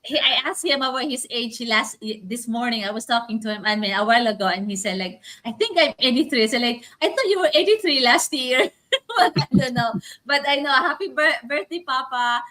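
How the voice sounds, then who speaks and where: A woman's voice: on the high side, animated, fairly quick, bright, forceful tone. A middle-aged woman co-hosting a livestream, talking in a loose, giggly way. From Philippines. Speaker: livestream co-host. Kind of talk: casual livestream talk.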